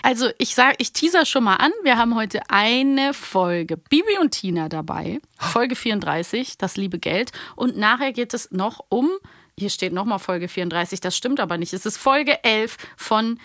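A woman's voice: a little high, lively intonation, normal speed, fairly bright tone, dry recording, clean studio sound. A young woman co-hosting a podcast, chatting casually and informally, often chiming in. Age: young adult. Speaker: podcast co-host. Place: podcast studio.

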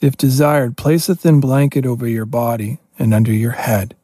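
A man leading a guided meditation as a yoga teacher, giving gentle, spacious instructions with pauses. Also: mid-range voice, little pitch movement, husky, no room sound, studio quality.

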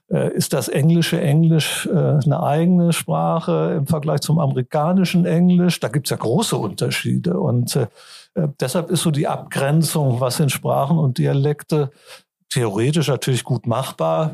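Gravelly, husky professor explaining. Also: male voice, fairly high pitch, mellow. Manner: lecturing, explanatory. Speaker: linguistics professor. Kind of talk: explaining over the phone.

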